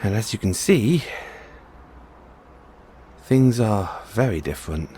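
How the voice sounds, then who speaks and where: Man playing an excitable mad scientist, wildly swooping pitch. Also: slow, a little hiss or hum. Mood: uneasy wonder. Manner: theatrical, geeky, hesitant musing between outbursts. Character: excitable eccentric mad scientist boyfriend with time machine. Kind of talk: audio roleplay acting, addressed to listener.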